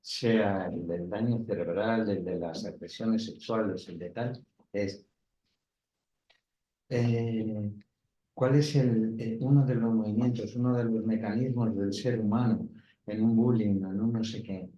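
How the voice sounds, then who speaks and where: Thoughtful man, mellow voice, echoey room. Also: fairly low pitch, steady tone, a little slow. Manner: thoughtful, hesitant, searching for words. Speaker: panelist, Gestalt therapist. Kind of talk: round-table discussion. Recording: roomy, dull, lo-fi recording.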